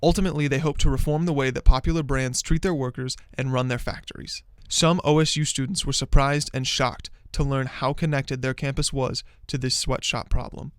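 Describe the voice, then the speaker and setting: Brisk male news narrator. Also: mid-range voice, clear, resonant tone, no echo, studio quality. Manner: brisk, even news-reading delivery. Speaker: student news reporter. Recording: voice-over with faint music.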